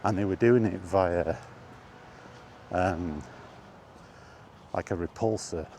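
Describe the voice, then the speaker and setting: Slow, deep-voiced man musing. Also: mellow, a little hiss or hum. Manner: halting, enthusiastic, many ums and restarts. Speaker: vlogger. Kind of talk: casual rambling monologue. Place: outdoors, walking.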